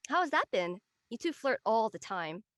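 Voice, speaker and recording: Woman as an earnest teenage girl, defensive, highish voice, very lively intonation. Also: moderate pace. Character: earnest, defensive high school girl, fond of horoscopes. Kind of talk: casual live script reading.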